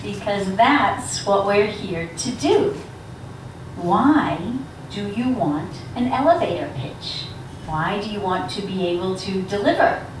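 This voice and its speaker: A woman's voice: medium pitch, noisy background. A woman speaker leading an interactive workshop, asking the audience questions as she talks. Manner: engaging, asks the audience questions. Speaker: guest speaker on elevator pitches. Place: large echoey lecture room. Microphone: handheld mic from a distance. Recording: echoey room recorded from far off.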